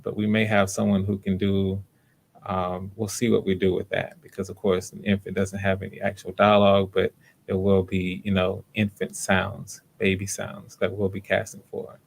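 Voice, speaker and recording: A man speaking casually as host and casting director of a livestreamed audition, in a low-key, halting way with repeated words.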